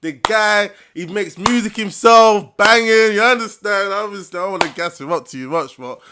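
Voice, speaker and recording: A man's voice: high voice, moderate pace, noisy background. A young man hosting his first interview, talking in hype slang and often asking "you understand". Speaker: interviewer and channel host.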